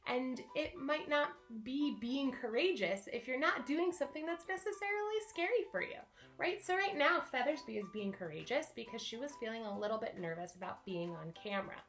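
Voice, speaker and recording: A woman's voice: sits high, expressive, fairly quick, fairly bright tone. A woman presenting a story time for children, speaking simply and encouragingly, with music behind her. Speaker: camp director reading picture books to children.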